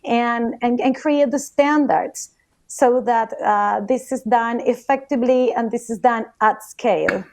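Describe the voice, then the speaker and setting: A woman from Latin America speaking earnestly as a panelist, with frequent pauses in a panel discussion. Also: on the high side, a little mellow, dry recording.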